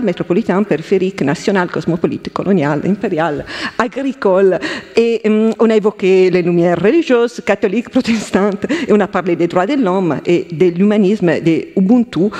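A woman's voice: medium pitch, dark tone. Italian-accented woman in a reverberant hall. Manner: halting, self-correcting, thinking aloud. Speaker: round-table panelist. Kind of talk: academic round-table remarks. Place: lecture hall.

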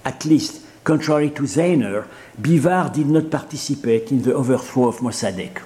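A man's voice: medium pitch, animated, warm tone. Hesitant man with a Persian accent. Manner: hesitant, halting with many fillers and restarts. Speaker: academic lecturer. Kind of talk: academic seminar lecture.

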